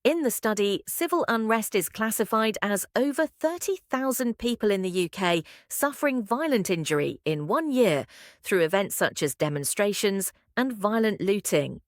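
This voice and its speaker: A woman narrates scripted practice passages as a read-aloud model. Her pitch moves in a lively, animated way. The sound is dry and close, with no room echo. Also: moderate pace. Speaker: test-practice narrator reading sample passages.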